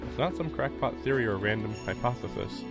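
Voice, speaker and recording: A man's voice: medium pitch, lively intonation, a little fast, gravelly. A man reading a visual novel aloud as a streamer, dropping in casual, wry asides. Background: music.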